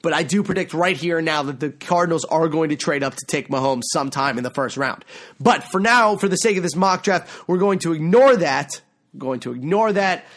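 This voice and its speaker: A man's voice: fairly high pitch, animated, a little fast, a bit bright. A young man hosting a solo football mock draft podcast, rambling and correcting himself, his voice a little worn. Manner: rambling, self-correcting, enthusiastic. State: losing his voice. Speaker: podcast host.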